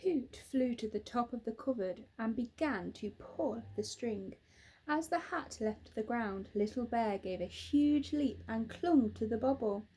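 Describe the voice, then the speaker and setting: Young woman gently reading a picture book. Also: on the high side, unhurried, a little hiss or hum, rough recording. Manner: gentle, expressive. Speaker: teacher reading aloud. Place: small room indoors.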